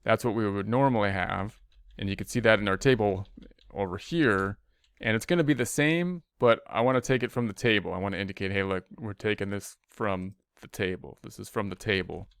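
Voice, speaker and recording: A man's voice: lowish voice, normal speed, creaky, dry recording. A man giving an informal spreadsheet tutorial as an instructor, thinking aloud step by step and often repeating himself. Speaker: accounting instructor.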